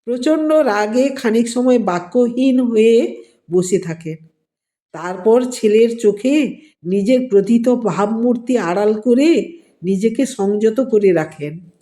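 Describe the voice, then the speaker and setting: A woman reads a dramatic story aloud. Her voice sits on the high side, yet it is soft-edged and mellow. She takes her time, shaping each literary phrase with measured expression. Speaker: storyteller narrating own written story. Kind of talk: scripted dramatic story narration.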